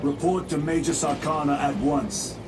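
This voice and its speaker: An actor playing a stern, steadfast warrior squad leader in a video game, commanding, formal and measured, with loud music behind. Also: male voice, on the high side, fairly even intonation, very noisy, close to an everyday voice. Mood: stern, resolute. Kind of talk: video game cutscene acting.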